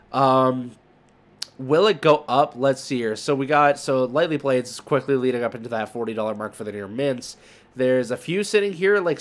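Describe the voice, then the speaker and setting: Man, medium-pitched voice, casual video host, off-the-cuff commentary, conversational, thinking aloud. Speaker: YouTube host.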